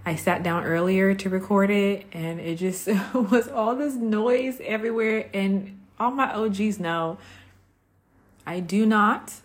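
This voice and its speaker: A woman hosting a podcast, talking in a conversational, personal way, reflective and pausing between short phrases. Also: mid-range voice. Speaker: podcast host.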